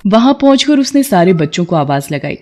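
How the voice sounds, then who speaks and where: A woman's voice: mid-range voice, sing-song, brisk, a little mellow. A woman reading scripted narration for a children's moral story.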